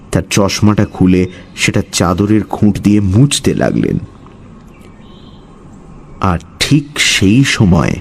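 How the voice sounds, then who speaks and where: A man narrating a scripted horror story in a dramatic, suspenseful way, with deliberate pauses. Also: on the low side, a little mellow, a little hiss or hum.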